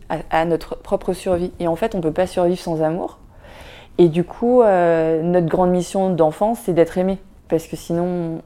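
Low-voiced woman, reflective and halting. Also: dark tone. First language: Vietnamese. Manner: thoughtful, many fillers and restarts, addresses listener with "tu vois". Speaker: podcast guest, filmmaker. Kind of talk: casual reflective interview talk.

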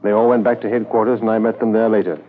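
An actor as a low-voiced, sombre police inspector, old radio. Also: male voice, flat delivery, natural voice. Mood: grave, subdued. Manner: terse, measured, matter-of-fact. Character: sombre, businesslike male police inspector narrating his case. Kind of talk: 1950s radio drama acting, first-person narration. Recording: muffled 1950s radio transcription, faint music.

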